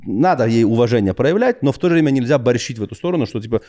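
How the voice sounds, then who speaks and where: A man, a guest in a casual chat, telling stories in a lively way with street slang. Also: mid-range voice, rapid-fire. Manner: animated storytelling with street slang.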